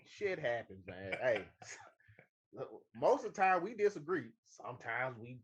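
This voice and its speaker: A dull, slightly lo-fi recording of a man co-hosting a casual show. His mid-pitched voice moves up and down in a lively way as he chats informally. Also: measured pace, breathy edge. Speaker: podcast co-host. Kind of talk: casual chat. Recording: dull, lo-fi microphone sound.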